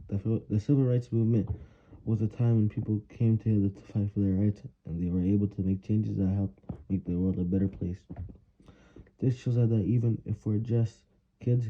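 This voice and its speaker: A man's voice: fairly low pitch, phone-quality sound. A young man, a student, reading prepared written answers aloud in an earnest way, now and then stumbling over words.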